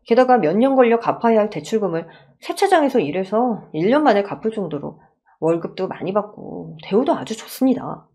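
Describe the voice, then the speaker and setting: A woman's voice: mid-range voice, sing-song, brisk, mellow. A woman voicing an earnest, hard-working young husband in a radio story drama, protesting respectfully.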